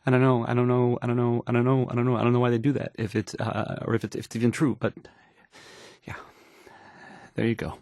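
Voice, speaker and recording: A man's voice: mid-range voice, monotone, quick pace, long pauses, darkish tone, no echo, studio quality, lightly acted. An actor playing a nervous, awkward young male radio DJ in a game, stammering and hesitating through the news, rambling and unsure of himself. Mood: anxious, rambling. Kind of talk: fumbled radio news report in a video game.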